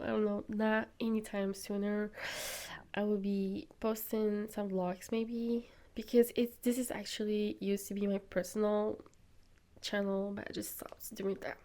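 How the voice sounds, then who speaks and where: Sick young woman with a gravelly voice. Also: a little high, a little mellow. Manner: earnest, opinionated. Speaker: podcast host. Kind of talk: solo opinion talk.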